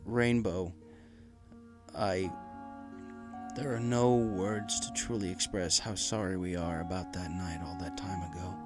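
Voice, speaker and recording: A man voicing a gentle, regretful father pony in a story, speaking softly, earnestly and haltingly. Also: sits low, fairly even intonation, pitched below the performer's own voice. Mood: remorseful. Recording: music playing behind the voice.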